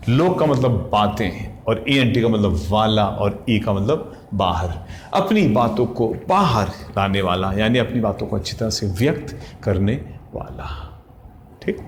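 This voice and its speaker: A man, teaching exam vocabulary in a brisk, chatty way. He spells each word out letter by letter, stops to ask if you follow, and corrects himself as he goes. The room sounds echoey, with a faint hum of ambient noise. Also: mid-range voice. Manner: spells words letter by letter, repeats "ठीक?" constantly. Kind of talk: exam-prep vocabulary lesson.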